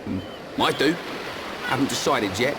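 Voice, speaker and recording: An actor playing a brash, slow-witted but friendly young British man in a video game, talking bluntly and informally. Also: mid-range voice, lively intonation, very noisy, natural voice. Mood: blunt, teasing. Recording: clear music behind.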